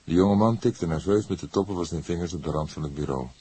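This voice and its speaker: A man narrating a crime audiobook in a measured storytelling manner. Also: low-pitched, mellow, breathy edge. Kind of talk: scripted narration of a crime novel.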